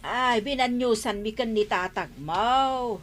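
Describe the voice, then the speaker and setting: A woman's voice: a little high, slow pace, no echo. A woman playing a worried older village mother, fretful and exclaiming, in a radio comedy drama. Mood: concerned.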